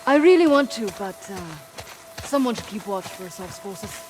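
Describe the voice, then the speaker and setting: A woman's voice: mid-range voice, expressive, moderate pace, over music, lightly acted. A woman playing a tough, pragmatic mercenary in a video game, speaking in a terse, guarded way. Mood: guarded, dry. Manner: terse, blunt. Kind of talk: naturalistic video game voice acting.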